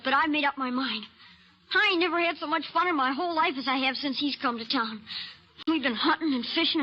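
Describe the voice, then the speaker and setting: A woman's voice: high voice, muffled recording. A woman playing an eager, stubborn, earnest American country boy in an old-time radio western. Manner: earnest, folksy country talk.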